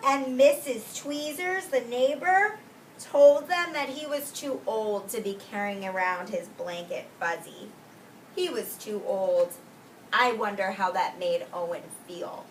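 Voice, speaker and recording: A woman teacher reading a picture book aloud to young children, expressively and deliberately, drawing out key words and explaining simply. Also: mid-range voice. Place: indoors in a classroom with young children. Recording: roomy distant mic with faint background noise.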